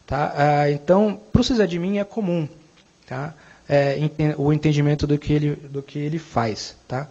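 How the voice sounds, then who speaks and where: A man with a Brazilian Portuguese accent giving a conversational conference tech talk, often checking in with the audience with quick tag questions. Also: fairly high pitch, fairly even intonation, warm tone. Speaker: technical speaker. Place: conference room. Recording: dull lo-fi conference recording.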